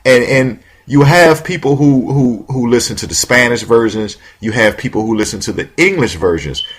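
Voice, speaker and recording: A man's voice: mid-range voice, normal speed, breathy edge. A man hosting a talk show, rambling with lots of restarts and casual slang. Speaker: radio show host.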